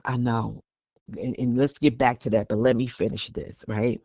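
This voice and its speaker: A podcast host speaks at a medium pitch into a close mic with very little room sound. The delivery moves between reading an article and conversational commentary. Opinions come across candid and emphatic. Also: fairly even intonation, moderate pace, dark tone, dry recording.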